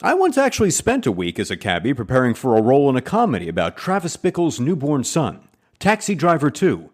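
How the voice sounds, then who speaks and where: An older, hammy performer in an audio comedy. The mid-range voice swings widely in pitch, full of sardonic exasperation and theatrical grumbling. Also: male voice, huge pitch swings, brisk. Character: grumbling, theatrical older actor turned agent. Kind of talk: comic audio drama acting.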